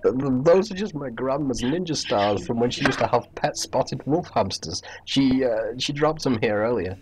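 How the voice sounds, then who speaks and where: A man's voice: mid-range voice, expressive, moderate pace, a crowd in the background, close to an everyday voice, rough recording. A man voicing a nervous robot who stammers and blurts out clumsy lies in an improvised game story. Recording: hobby internet recording with clear noise.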